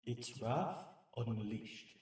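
Aged rat sensei for a comic dub , male actor. Solemn, mid-pitched, unhurried, poetic narration. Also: lively intonation, darkish tone. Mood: grave. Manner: grave, measured, poetic. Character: wise, solemn old male mutant rat master.

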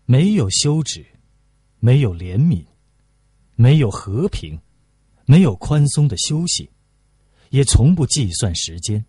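A man reads literary prose as an audiobook narrator. His voice is warm and husky, with a lively rise and fall in pitch. He delivers it as scripted storytelling. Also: slow pace, long pauses, dry recording.

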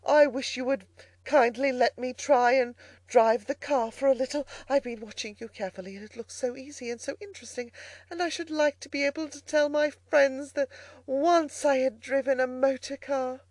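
A humble washerwoman from a storybook, as a woman plays her, really a toad in disguise. The pitch is lifted and the manner is meek, polite and pleading. The recording is close and dry. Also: moderate pace, darkish tone, no room sound, natural voice. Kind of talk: storybook character voicing.

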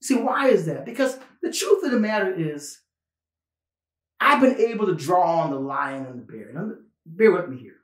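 A man in his fifties giving a personal, conversational sermon as a pastor, earnest and emphatic, with deliberate pauses and repetition. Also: sits high, lively intonation, a bit bright, roomy sound. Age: 50s. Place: small indoor studio, plain backdrop.

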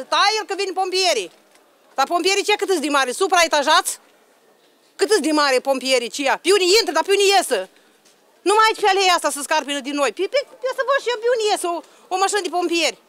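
Blunt woman with a sharp, piercing voice. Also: high-pitched. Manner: blunt, emphatic, with rhetorical questions. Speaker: market trader being interviewed. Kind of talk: street interview, heated complaint. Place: clothing stall at open market. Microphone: handheld reporter microphone.

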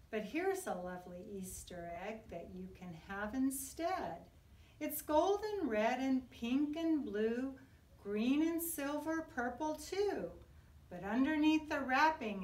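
Female kindergarten teacher, middle-aged, highish voice, very slow expressive storybook reading, recorded at a distance in a classroom. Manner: expressive, sing-song storytelling for young children. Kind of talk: read-aloud of a children's picture book. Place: indoor classroom. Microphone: camera a few feet away. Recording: roomy echo, distant microphone.

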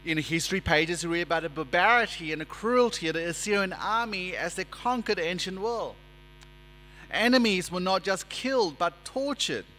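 A man in his forties preaching a sermon at a lectern in a church, speaking in a measured, emphatic way and gesturing as he talks. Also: high voice, pushed and bright. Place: church sanctuary, indoors. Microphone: lectern microphone. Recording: reverberant church hall with mains hum.

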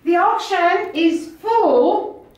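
A very echoey indoor recording of a middle-aged Australian woman with a high voice. She chats enthusiastically as she shows auction items from room to room, picked up by a camera microphone a few steps away. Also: animated, very slow, a little mellow. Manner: chatty, enthusiastic. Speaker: auction house presenter. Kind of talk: casual walk-through tour of auction items. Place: small indoor rooms of an auction house. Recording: very echoey bare rooms.

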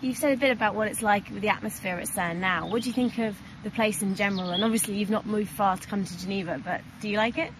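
A woman's voice: mid-range voice, fast, hardly any pauses, background noise. A woman interviewing a guest outdoors, encouraging with frequent agreement sounds. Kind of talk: casual interview questions.

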